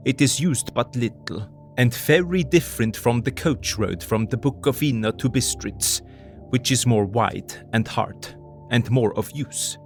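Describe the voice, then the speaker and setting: Man playing a slow, gravelly elderly foreign professor. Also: medium pitch, faint music behind, natural voice. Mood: earnest, wondering. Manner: deliberate, broken foreign English, old-fashioned phrasing. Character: elderly foreign professor, earnest, grave, weary vampire hunter. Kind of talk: audio drama diary narration.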